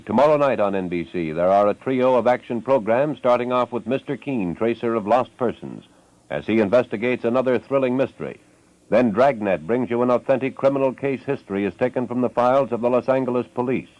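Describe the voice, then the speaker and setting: A dull vintage recording of an American man announcing for a radio network. He reads scripted promos in polished fifties style. The sound is close and dry, with a medium-pitched voice. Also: a little mellow, no room sound.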